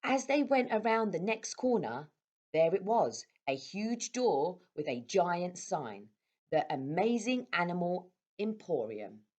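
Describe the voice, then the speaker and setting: A woman reading a children's story aloud as a storyteller, with expressive delivery. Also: lowish voice, takes it slowly, muffled recording. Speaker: storyteller at a primary school.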